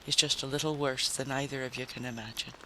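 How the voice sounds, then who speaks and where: A man's voice: medium pitch, fairly even intonation, quick pace, bright, forceful tone, a little hiss or hum, close to an everyday voice, poor audio. A man voicing a cynical male wasteland drifter in a story reading, with a blunt, theatrical, snarling manner. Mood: flat, grim.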